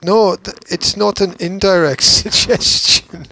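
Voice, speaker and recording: A man hosting a casual podcast, talking in a halting, opinionated and argumentative way with emphatic stresses. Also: sits high, fairly even intonation, creaky, bright, forceful tone, rough recording. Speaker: podcast host.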